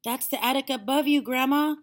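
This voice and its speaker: A woman's voice: a little high, sing-song, moderate pace, voice pushed up. A woman voicing a young boy in a storybook, an astonished and anxious grandson who exclaims and calls out urgently. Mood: protesting, urgent.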